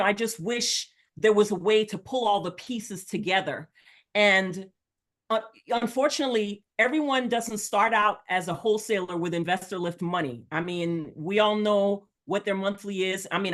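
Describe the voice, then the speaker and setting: A middle-aged woman who was born in Jamaica and grew up in the United States. She talks as a real estate coach on a video call. She is chatty and rambling, and her voice sits at an ordinary mid pitch. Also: a bit bright. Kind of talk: casual webinar talk.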